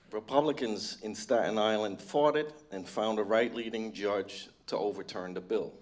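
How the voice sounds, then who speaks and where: Man from the Netherlands, lowish voice, delivers a measured, prepared question at a public meeting. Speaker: audience member asking a question.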